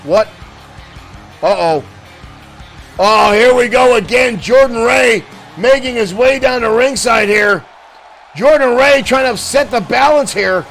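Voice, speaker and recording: A man doing excited play-by-play commentary for a digital wrestling show, shouting at big moments and losing his voice. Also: very high voice, a little slow, vocal fry, long pauses, soft background music.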